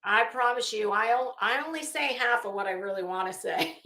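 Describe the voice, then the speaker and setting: A woman's voice: on the high side, moderate pace, sharp tone. A woman hosting a casual livestream chat, talking to viewers in a gossipy way with chuckling, coy asides. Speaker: livestream host. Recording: roomy, echoey room sound.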